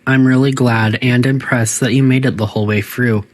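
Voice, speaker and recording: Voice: medium pitch, steady tone, normal speed, lightly acted. A performer voicing a polite but menacing artificial intelligence that runs test chambers, making formal, clinical, passive-aggressive announcements in a video game. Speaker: facility announcer.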